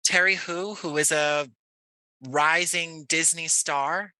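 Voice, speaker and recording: An American man talking conversationally as an interview guest, choosing his words in an articulate, measured way. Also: fairly high pitch, steady tone, pushed and bright, clear, resonant tone, no echo, low-quality recording. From Jersey City, United States. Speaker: podcast guest, audio play creator.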